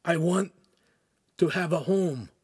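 A man's voice: high voice, sing-song, a little slow, no room sound, clean studio sound, voice pushed up, full character voice. A man voicing a humble, eager reformed street youth in an audiobook, pleading and deferential. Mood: solemn.

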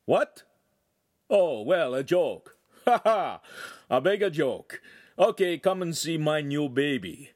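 A man voicing an old, eager male master craftsman who speaks slow, emphatic broken English. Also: fairly high pitch, expressive, a little mellow, dry recording, clean studio sound, pitched above the performer's own voice. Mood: excited.

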